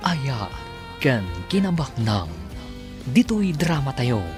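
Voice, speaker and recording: A man announces a radio drama with an Ilocano Filipino accent, over clear music. His delivery is slow and dramatic, with big sweeps in pitch. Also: big pitch swings. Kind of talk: dramatic scripted narration.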